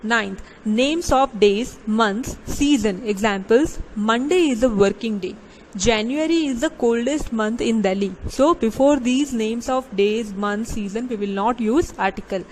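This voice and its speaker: A fairly high-voiced woman teaches English grammar to her students, reading each rule and example slowly before going over it again in plainer words. She often restarts and pauses with small fillers. A faint hiss of background noise sits under her voice. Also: darkish tone, low-quality recording. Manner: slow, deliberate reading of examples with frequent restarts. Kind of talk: grammar lesson, reading rules then explaining.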